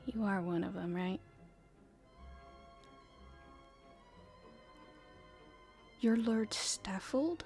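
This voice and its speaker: Girl acting as a stifled young princess, asmr roleplay, soft whispery voice, slow and formal, music behind. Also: medium pitch, close to an everyday voice. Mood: polite, reserved. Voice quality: soft, breathy, often whispered. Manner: formal, polite, hesitant. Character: proper young princess at her debut, stifled, secretly rebellious.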